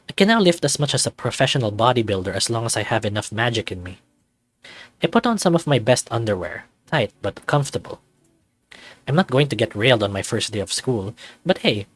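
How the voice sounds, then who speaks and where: A man's voice: mid-range voice, no echo. A young man reading first-person visual novel narration in a cocky, wry, conversational manner.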